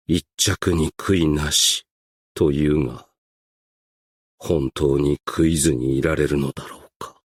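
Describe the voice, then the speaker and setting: An ancient strategist from a video game, composed and stern. His voice is low and he speaks slowly, in formal, old-fashioned, reserved phrases. The sound is close and dry. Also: no room sound, heavily acted. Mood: solemn, resigned. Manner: formal, archaic, measured, reserved diction. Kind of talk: scripted game character voice lines.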